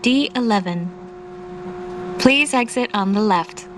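Woman as train announcer: formal, measured, very slow, precise diction, slightly high voice. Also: lively intonation. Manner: formal, measured, carefully enunciated. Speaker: English-language train announcer. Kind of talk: recorded in-car station announcement. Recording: faint train noise. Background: train car ambience.

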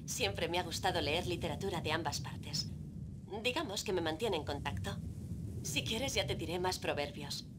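Actress voicing a gentle radio adviser. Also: female voice, on the low side, expressive, normal speed, natural voice. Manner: gentle, quoting proverbs. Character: calm young woman radio adviser. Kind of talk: dubbed game dialogue. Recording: noisy game audio.

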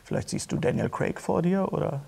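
Higher-pitched, creaky middle-aged man. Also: fairly quick, warm tone. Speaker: talk-show host. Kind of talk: casual interview chat. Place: small indoor talk-show studio.

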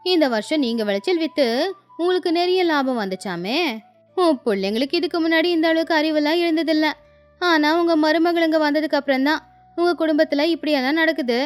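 Lady doing a nosy village neighbour gossip, cartoon story, sarcastic, high voice, faint music. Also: a little fast, close to an everyday voice. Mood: needling. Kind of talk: acted dialogue in a Tamil moral story cartoon.

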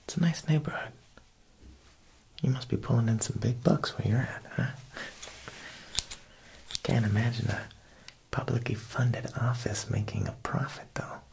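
A young man playing an Italian mob boss tailor in a whispered ASMR roleplay, with an Italian-American accent and a smooth, insinuating manner. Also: mid-range voice. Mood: quietly menacing. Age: young adult. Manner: slow, hushed, insinuating, with pauses.

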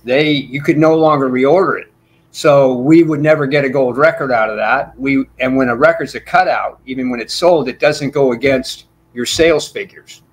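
An older man chatting on a video call from a small room at home, talking at length and drifting from story to story. His voice sits in an ordinary middle pitch, relaxed and unhurried. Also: husky, rough recording. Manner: talkative, rambling storyteller. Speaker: bassist guest. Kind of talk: casual interview chat. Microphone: video call with desk mic.

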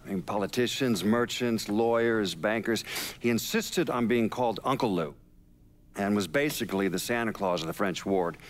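A man plays an interviewee in a crime game's mock documentary. His voice is on the low side and wry as he reminisces, with faint noise behind. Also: moderate pace, close to an everyday voice. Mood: amused, reminiscing.

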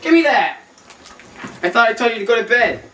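A man's voice: very high-pitched, animated, fairly quick, bright, forceful tone, slight background noise, heavily acted. A young man playing a bossy, grumpy father who scolds his kid and swears at the plumber, taped on a far-off camcorder in a house. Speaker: skit actor. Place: small house rooms, indoors. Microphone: far camcorder mic. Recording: echoey room, far-off camcorder.

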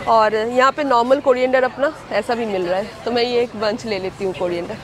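A woman's voice: fairly high pitch, fairly even intonation, fairly quick, noisy background. A woman from India in her thirties chatting to viewers as she shops for vegetables at an outdoor farmers market, filmed on a handheld camera. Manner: chatty, talks to viewers while handling produce. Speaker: vlogger. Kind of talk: casual vlog chat while shopping. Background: market crowd chatter.

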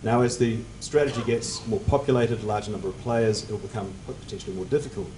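A man in his forties answering on a conference panel at a table mic, measured and technical, his phrases fading at the ends. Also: on the low side, darkish tone, slight background noise, low-quality recording. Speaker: panelist, fund manager. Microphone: table mic on stand.

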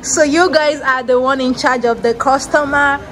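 A woman vlogger interviewing students, patient and encouraging, rephrasing her questions slowly. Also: sits high, steady tone, fairly bright tone, a little hiss or hum. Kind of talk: casual on-camera interviews.

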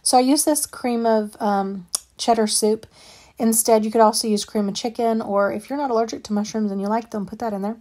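A woman's voice: a little high, steady tone, brisk, dark tone. A woman narrating her home cooking in a chatty, plainspoken way, with frequent ums and asides. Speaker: home cook and channel host. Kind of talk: casual cooking voice-over. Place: home kitchen.

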